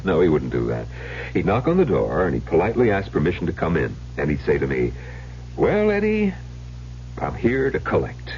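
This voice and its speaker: An actor playing an educated middle-aged husband, speaking in a measured, confessional, theatrical way in an old-time radio drama. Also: male voice, sits low, huge pitch swings, a little mellow, full character voice. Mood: wry, defensive. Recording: dull, noisy old radio recording.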